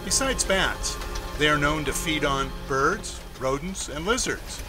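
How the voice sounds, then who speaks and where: A man's voice: on the high side, animated, measured pace. A man narrating a nature documentary in an informative, lightly wry way. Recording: narration over loud music. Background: loud music.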